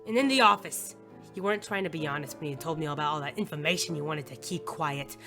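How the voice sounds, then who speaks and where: A man's voice: a little high, fast, sharp tone, soft background music. A man playing a hard-boiled, lovestruck but wary male detective in a noir audio drama, blunt and intimate in manner. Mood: accusing, angry. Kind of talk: noir audio drama with first-person narration.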